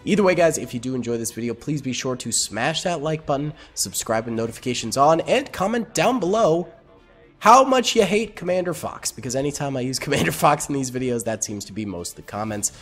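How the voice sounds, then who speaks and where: Young man, sick and hoarse-edged, upbeat gaming commentary, faint music behind. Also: medium pitch, animated, brisk. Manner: upbeat, chatty, talks to viewers. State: sick, partly lost voice. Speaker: gaming channel host. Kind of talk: casual gameplay commentary.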